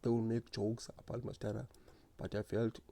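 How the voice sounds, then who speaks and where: A man telling his own story in a slow, earnest, reflective way, stuttering often with repeated words and restarts. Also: on the low side, little pitch movement, creaky, warm tone. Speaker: speaker sharing lived experience. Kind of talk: personal reflective monologue.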